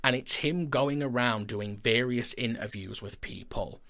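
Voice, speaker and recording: A man's voice: mid-range voice, unhurried, fairly bright tone. A man chatting casually and enthusiastically as an audio drama creator, with a jokey manner. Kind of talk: casual chat.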